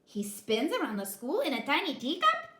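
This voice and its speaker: A woman voicing an excitable young boy cat, in a high, bouncy, singsong way, in an echoey kitchen. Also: moderate pace, full character voice. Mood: surprised. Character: excitable young boy cat who thinks he's a chihuahua.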